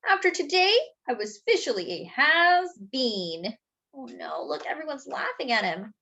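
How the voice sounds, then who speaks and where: A woman reading a children's picture book aloud, pausing to point out details in the pictures. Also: high-pitched, sing-song, sharp tone. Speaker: children's storyteller.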